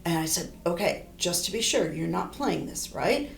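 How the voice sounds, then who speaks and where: A middle-aged woman with a low, gravelly voice talks through her ideas in a relaxed interview. She wanders and restarts as she thinks aloud. The room is echoey, with a faint hum behind her. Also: normal speed. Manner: thoughtful, rambling, frequent restarts. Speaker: horn player and composer.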